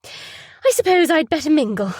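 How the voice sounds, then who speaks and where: Woman doing a brittle, forced-bright mother. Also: high-pitched, sing-song, normal speed, no echo, clean studio sound, voice pushed up. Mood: forced cheer. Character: strained middle-aged mother keeping up appearances.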